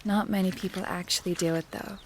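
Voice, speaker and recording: A woman's voice: fairly low pitch, steady tone, fairly quick, a little hiss or hum, lightly acted. A woman playing an affectionate young student fiancée in an audio drama, with a conversational delivery. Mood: affectionate, teasing. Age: young adult.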